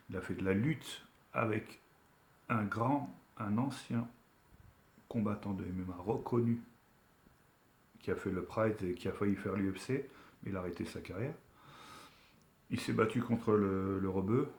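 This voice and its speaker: A man with a fairly low voice speaks in a casual, colloquial register, recorded in a noticeably echoey room. He is from Algeria and talks like an online commentator giving a frank telling-off, stressing his points emphatically. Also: a little mellow. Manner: colloquial street French, emphatic, admonishing. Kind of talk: casual opinion rant to camera.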